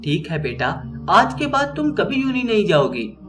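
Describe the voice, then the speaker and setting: A man's voice: high voice, big pitch swings, unhurried, music in the background. A man voicing a worried middle-aged mother who comforts her daughter and speaks firmly. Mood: consoling. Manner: soothing, then firm and resolute.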